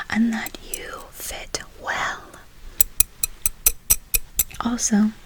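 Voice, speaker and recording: A woman playing a doting, whimsical forest witch in an ASMR roleplay, cooing endearments and gently reassuring her listener. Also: mid-range voice, slight background noise, close to an everyday voice, poor audio.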